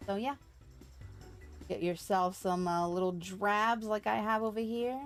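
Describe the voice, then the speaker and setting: A woman's voice: medium pitch, level tone, unhurried. A woman live streamer chatting casually and playfully while she plays a game. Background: game music.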